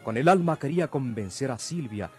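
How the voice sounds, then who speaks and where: Male narrator, Mexican, mellow, theatrical vintage radio serial read, light music behind. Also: mid-range voice, expressive, a little fast. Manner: theatrical, rhetorical. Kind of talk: dramatic scripted narration, old radio serial.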